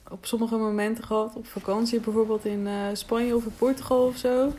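A woman's voice: a little high, flat delivery, fairly quick, dark tone, a little hiss or hum. A woman in reflective, casual conversation as an interviewer, thoughtful and tentative, often agreeing.

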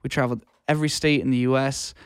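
Clear-voiced man chatting earnestly, dry sound. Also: medium pitch, steady tone, fast, no echo. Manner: colloquial, earnest, peppers talk with "man" and "like". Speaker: interview guest, audio engineer and music producer. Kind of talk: casual interview conversation.

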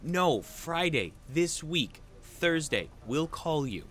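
An actor playing a fussy, flustered office colleague, brisk and exasperated, in a 1940s-style radio comedy. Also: male voice, fairly high pitch, big pitch swings, a bit bright, full character voice.

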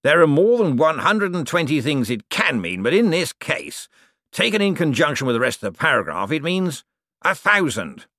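An ancient ghost of a professor, acted out by a male audiobook reader, savours his words in drawn-out phrases. He is smug and wistful, with a flirtatious streak, and his voice swings about in a dry, close recording. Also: a little high, sing-song, sharp tone, no room sound, pitched above the performer's own voice, exaggerated voice. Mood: smug, cheerful. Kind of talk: audiobook character voice.

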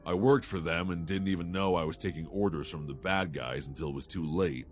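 A man's voice: deep voice, a little fast, soft background music, lightly acted. A man playing a remorseful cybernetically enhanced war veteran in an audio drama. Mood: grave confession.

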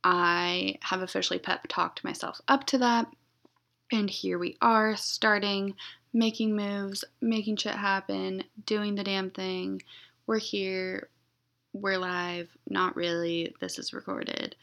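A young woman hosting her own podcast, talking in a flat, monotone, rambling way and being blunt. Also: medium pitch, slow, gravelly. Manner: blunt, unfiltered. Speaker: podcast host. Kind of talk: casual solo podcast intro.

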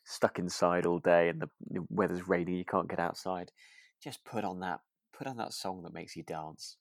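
A man's voice: deep voice, steady tone, moderate pace, no room sound, clean studio sound. A British man co-hosting a podcast, chatting casually about a radio drama in a chatty, giggly way. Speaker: podcast co-host.

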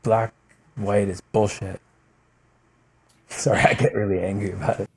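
A man's voice: fairly low pitch, a little slow, husky. A man, a guest on a podcast, talking passionately in a rambling, casual way, often adding "man" and "you know" and acting out quotes as he tells stories. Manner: animated storytelling with voiced quotes. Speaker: podcast guest, musician and writer. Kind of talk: casual interview talk.

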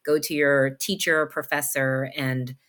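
A woman's voice: very deep voice, little pitch movement, a little slow, a bit bright. A woman hosting an interview, a parent, talking reflectively and thinking aloud with many fillers. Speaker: host, parent of a child who stutters. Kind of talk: conversational interview.

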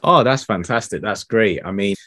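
Deep-voiced friendly podcast host. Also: male voice, normal speed, pushed and bright, dry recording, studio quality. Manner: friendly, rambling, many fillers. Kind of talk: casual interview chat.

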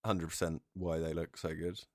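A man's voice: low voice, flat delivery, moderate pace, no echo. A man talking casually as a co-host in a podcast discussion.

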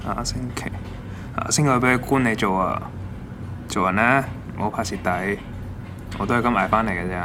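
An actor with a Hong Kong accent playing a pushy, condescending office boss who nags and preaches at junior staff in an audio drama. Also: male voice, lowish voice, moderate pace, long pauses, noisy background, close to an everyday voice. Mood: scolding, indignant. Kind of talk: Cantonese audio drama acting.

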